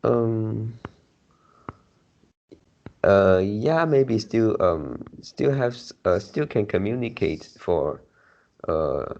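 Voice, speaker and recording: Malaysian English man, deep low voice, slow and hesitant, slightly dull recording. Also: expressive, darkish tone. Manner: hesitant, pauses to think. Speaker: discussion participant. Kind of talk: casual discussion.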